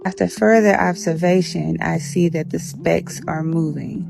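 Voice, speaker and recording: A woman's voice: fairly low pitch, fairly even intonation, unhurried, creaky, over music. A woman narrating a video in a casual way.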